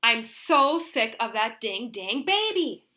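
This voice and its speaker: A jealous young storybook girl, read by a grown woman, grumbling and plainspoken. The voice is bright and on the high side. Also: very animated, slow pace, full character voice. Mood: fed up. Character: jealous young girl narrating a children's picture book.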